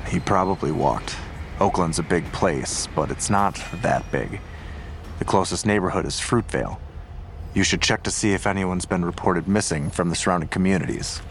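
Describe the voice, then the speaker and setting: A weary, skeptical detective in an audio drama, speaking in a deep, gravelly voice. He is terse and measured, probing as he goes, with faint noise behind him. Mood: measured, businesslike.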